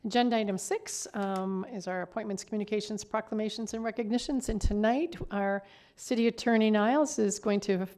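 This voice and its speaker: A woman's voice: mid-range voice, a little fast, hardly any pauses, darkish tone. A woman speaking as a mayor chairing a council meeting, courteous and procedural as she moves through formal business.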